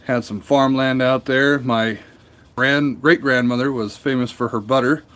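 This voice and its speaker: A man's voice: mid-range voice, steady tone, measured pace, fairly bright tone. A man with a nasal voice, a woodturner chatting casually and rambling while live-streaming from his cold workshop. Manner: rambling and chatty, answers viewer comments. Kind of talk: casual livestream chat.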